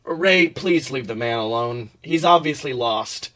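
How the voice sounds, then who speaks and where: A man's voice: mid-range voice, lively intonation, a little slow, pushed and bright. A man voicing a composed, bookish schoolboy in a story, speaking in a cool, matter-of-fact way.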